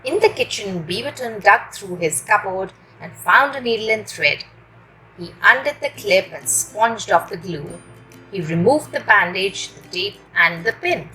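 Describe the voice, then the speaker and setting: Lady reading a kids story, really animated, takes it slow, music playing behind her. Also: medium pitch, poor audio. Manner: expressive, deliberate delivery. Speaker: children's story reader. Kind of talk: animated storytelling for young children.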